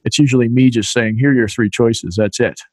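A man's voice: mid-range voice, steady tone, brisk, darkish tone, no room sound. A man hosting an interview, asking casual questions and often repeating words as he starts a thought. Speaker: podcast host.

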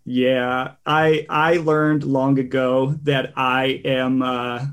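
A man hosting a podcast in relaxed, rambling casual chat, with a tenor voice and many fillers. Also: mid-range voice, drawn-out words, smooth. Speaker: podcast host.

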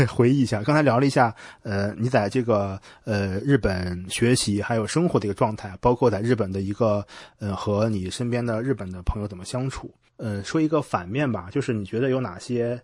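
A man's voice: fairly low pitch, brisk, hardly any pauses, darkish tone, husky, no room sound. A man hosting a casual interview, inquisitive, rephrasing what he hears into questions. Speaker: podcast host, interviewer.

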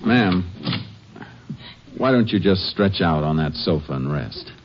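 An actor playing a calm, methodical Texas Ranger in a 1950s western radio drama, American, asking measured, matter-of-fact questions. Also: male voice, low-pitched. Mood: probing, calm. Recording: muffled old radio recording with noise.

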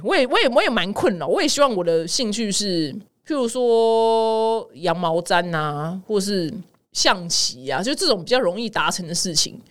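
A woman with a Taiwanese Mandarin accent hosting a casual news podcast, exclamatory and dramatic, wandering into personal asides and mixing in English words. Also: medium pitch. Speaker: podcast host.

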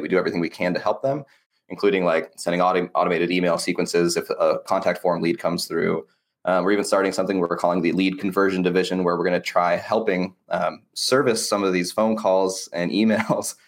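A man's voice: low voice, little pitch movement, a little fast. A man being interviewed as an agency owner, explaining his work in an earnest, casual, conversational way.

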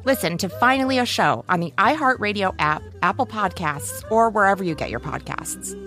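Mid-pitched woman narrating a podcast promo. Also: lively intonation, a little fast, sharp tone, faint music behind.

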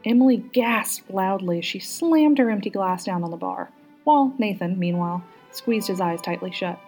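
Mellow, husky woman reading a story aloud. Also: medium pitch, expressive, normal speed, soft background music. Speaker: guest reader, public school teacher. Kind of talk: read-aloud storybook narration.

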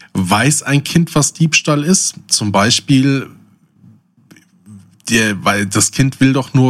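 A man's voice: fairly low pitch, fairly bright tone. A man chatting casually as a podcast co-host, reflecting and thinking aloud in a discursive way.

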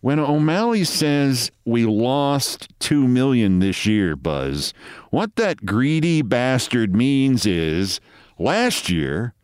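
A knowing senior baseball executive, played by a male storyteller, amused and condescending. He explains with deliberate emphasis, his pitch moving widely in a close, dry sound. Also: no echo.

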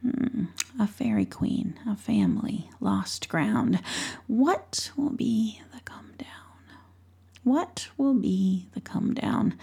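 A woman voicing a croaky old hag witch who wheezes, cackles and mutters spells in a whispery voice. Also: medium pitch, a little mellow, exaggerated voice.

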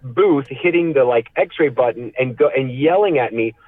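Man telling a halting comic anecdote. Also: medium pitch, animated, phone-quality sound. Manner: halting, rambling, acts out dialogue. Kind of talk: animated casual comic storytelling.

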